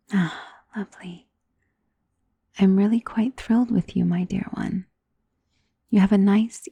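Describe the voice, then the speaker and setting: Husky ancient vampire lady acted by a woman, creaky, intimate, genteel, doting, possessive, ASMR roleplay. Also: mid-range voice, no echo, heavily acted. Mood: tender, possessive. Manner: soft, intimate, genteel, unhurried endearments, often dropping into whisper. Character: centuries-old female vampire, wealthy, doting, possessive, calmly commanding. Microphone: close microphone in a dry space.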